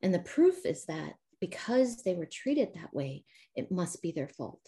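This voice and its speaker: A woman from the American Midwest with a Midwestern accent mixed with Southern, calmly explaining her coaching work as an interview guest over a video call. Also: fairly low pitch, measured pace, husky. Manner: calm, explanatory, frequent um and you know. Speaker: trauma recovery coach, guest. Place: home, calling in remotely.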